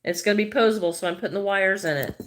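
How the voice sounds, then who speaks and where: A woman's voice: medium pitch, fast. A middle-aged Midwestern American woman chatting casually on a crochet livestream, greeting viewers by name and thinking aloud as she works.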